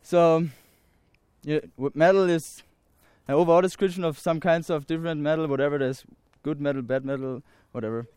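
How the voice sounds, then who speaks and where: A nineteen-year-old man from Austria with an Austrian German accent, giving an earnest personal testimony to an audience in halting English full of pauses and restarts. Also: on the high side, steady tone, a little mellow, smooth, no echo.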